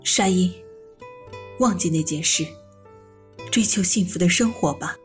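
Radio drama aunt, female actor: middle-aged, solemn and composed, lowish pitch. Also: unhurried, faint music behind, natural voice. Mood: solemn blessing. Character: grave, composed bereaved aunt. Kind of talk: Mandarin audio drama acting.

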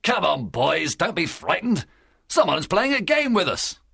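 A man voicing a cunning, commanding pirate leader in a storybook, with a rough, gravelly voice and forceful delivery. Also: on the high side, sing-song, measured pace, dry recording, studio quality, pitched above the performer's own voice, heavily acted. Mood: uneasy. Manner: forceful, bossy. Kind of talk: dramatised storybook reading.

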